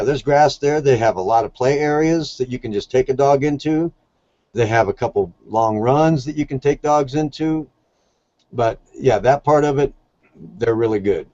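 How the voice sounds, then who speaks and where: A man's voice: medium pitch, warm tone, no echo, muffled recording. A man talking casually as an interview guest, telling stories in a plain-spoken, earnest way. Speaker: podcast guest, shelter dog volunteer.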